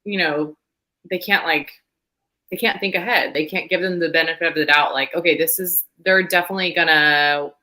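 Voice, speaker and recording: A woman with a low voice that still cuts through very brightly. She hosts an informal book discussion in a conversational way, often doubling back on a phrase for emphasis. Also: steady tone, fairly quick. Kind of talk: casual book chat on a live show.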